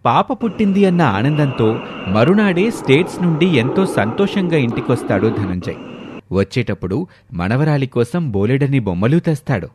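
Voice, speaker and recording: Animated male storyteller. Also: mid-range voice, normal speed, noisy background. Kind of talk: scripted moral story narration.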